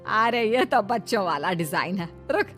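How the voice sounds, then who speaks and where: A woman's voice: mid-range voice, animated, moderate pace, lightly acted. A woman voicing a humble, hard-working middle-aged mother-in-law in a Hindi cartoon story. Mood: pleased. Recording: music behind the voice.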